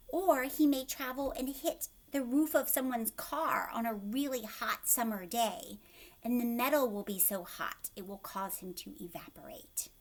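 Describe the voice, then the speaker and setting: A woman with a fairly high voice reads aloud to young children as their teacher. Her pitch moves around a great deal and her pace is very slow. She is expressive, asks the listener questions, and often softens into a hush.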